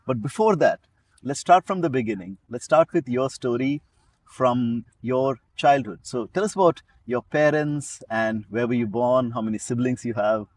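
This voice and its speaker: A man's voice: medium pitch, normal speed, a little mellow. Male podcast host with a mid-range voice. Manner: announcer-style opening, then chatty questions. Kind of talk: scripted intro, then casual interviewing.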